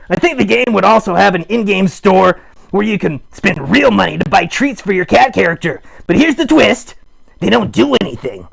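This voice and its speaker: Goofy puppet show host, played by a man: high-pitched, very raspy, playful and theatrical, improvised rambling. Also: a bit bright, full character voice. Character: chatty goofy puppet hosting a live show. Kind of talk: improvised comic rambling to live chat.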